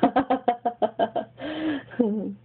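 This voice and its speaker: A woman's voice: fairly high pitch, very slow, husky, soft background music. A giggly young woman with a Mexican American accent, chatting casually into a webcam in a small bedroom. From Los Angeles, grew up in Texas. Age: young adult. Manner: giggly, chatty, repeats words. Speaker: vlogger. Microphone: webcam built-in mic. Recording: tinny, lo-fi webcam audio.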